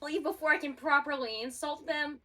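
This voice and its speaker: Bright, very high-pitched animated woman. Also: a little fast. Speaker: player in a tabletop game. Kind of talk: casual chat with in-character play.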